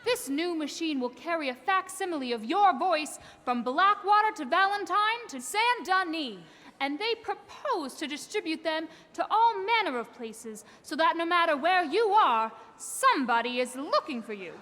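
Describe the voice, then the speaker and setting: A bright-voiced ragtime singer. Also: female voice, extremely high pitch, big pitch swings, moderate pace, heavily acted. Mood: wry. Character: female roadside singer turned stage performer. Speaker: stage singer. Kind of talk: ragtime song performance. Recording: with loud piano backing.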